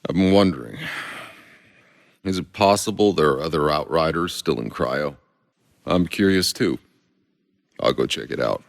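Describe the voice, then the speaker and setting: An actor playing a world-weary, sardonic soldier in a video game, gravelly, dry and blunt. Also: male voice, a bass voice, lively intonation, normal speed, long pauses, dry recording, full character voice. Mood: dry, guarded. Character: gruff, world-weary male Outrider soldier, sardonic and foul-mouthed.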